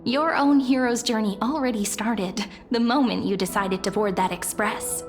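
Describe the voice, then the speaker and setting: Woman acting a high-voiced, gentle young game heroine. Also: normal speed, over music, natural voice. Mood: earnest, reassuring. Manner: earnest, softly encouraging, lightly teasing. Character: gentle hopeful young woman, devoted companion. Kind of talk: scripted video game dialogue.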